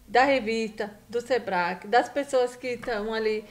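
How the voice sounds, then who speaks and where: A middle-aged woman from Brazil, a guest on a casual live stream, telling stories in a warm, chatty way with lively quoted asides. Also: on the high side, normal speed.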